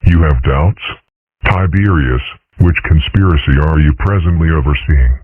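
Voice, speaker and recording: Man playing an extremely deep, husky dragon father. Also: normal speed, no echo. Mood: dry, indignant. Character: stern, dry-witted adult male dragon father. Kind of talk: comic strip dub. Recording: muffled phone-like band.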